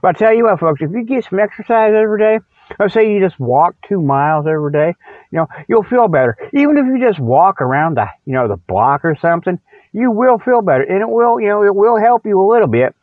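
A man's voice: high-pitched, big pitch swings, fast, no room sound. A middle-aged man hosting a rambling comic radio monologue, folksy and repetitive, often checking in with an okay. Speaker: radio show host. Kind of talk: rambling comedic monologue with spoof ads. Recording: muffled, phone-like radio sound.

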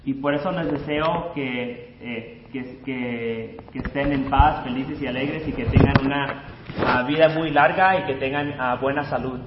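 A man's voice: mid-range voice, moderate pace. A man interpreting a sermon live, hesitant and full of fillers and restarts. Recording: roomy lo-fi recording with faint noise.

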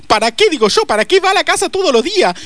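A man's voice: very high voice, sing-song, fast, a bit bright, no room sound, full character voice. A man playing an indignant, meddling older mother in a comedy sketch, with a Rioplatense Argentine accent and a vulgar, complaining manner. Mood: aggrieved. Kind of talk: broad audio comedy sketch.

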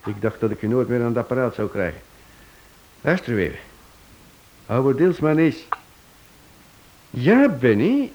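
An actor playing a brisk, affable detective who bluffs easily, in a Dutch radio play. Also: male voice, mid-range voice, lively intonation, darkish tone, dull audio, a little hiss or hum, close to an everyday voice.

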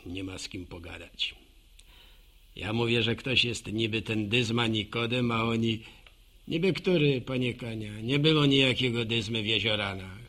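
An actor playing a chatty, homespun village postman with a gravelly voice, rambling in a folksy monologue for a radio drama. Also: on the low side, normal speed, fairly bright tone, lightly acted. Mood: nostalgic, amused. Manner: folksy, rambling, musing aloud to himself and listeners. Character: chatty, homespun old village postman, proud local gossip.

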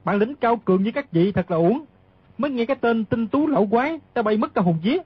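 Fearless hothead for radio drama; forceful, defiant, quick, rough-edged, dull sound. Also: male voice, very high voice, animated, exaggerated voice. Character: hot-headed fearless fighter spoiling for battle. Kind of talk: dramatised audiobook reading.

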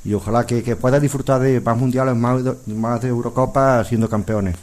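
A man's voice: mid-range voice, fairly even intonation, a little fast, darkish tone. A man who presides over a football fan club, asking a friendly, rambling question on a radio show.

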